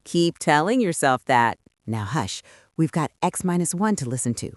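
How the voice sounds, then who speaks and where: A woman playing a sensible, teasing 1970s wife in a scripted comic skit, gently chiding. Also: deep voice, very animated, moderate pace, no echo, studio quality.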